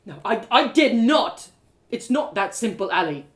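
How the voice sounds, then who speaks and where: A woman puts on an earnest young storybook man weighed down by guilt. He pleads in halting phrases, repeating himself, with a lively rise and fall. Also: on the high side. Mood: pleading, anguished.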